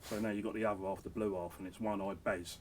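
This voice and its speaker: A man's voice: lowish voice, steady tone, quick pace, a little hiss or hum. A middle-aged man, hosting a casual interview, reading out viewers' questions and joking with his guests. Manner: jokey, blokey, reads out viewer questions.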